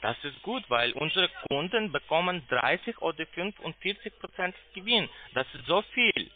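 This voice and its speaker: A man with a foreign accent, not a native speaker, making a halting sales call and searching for words in broken phrasing. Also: fairly high pitch, big pitch swings. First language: not German. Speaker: phone sales agent pitching investments. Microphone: telephone. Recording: tinny, muffled phone line.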